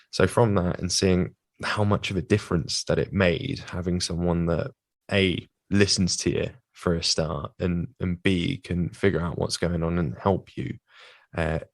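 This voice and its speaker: A young British man, a physiotherapist, answering interview questions in a measured way, with many fillers and restarts. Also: low-pitched, no echo, clean studio sound. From United Kingdom. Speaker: guest, physiotherapist.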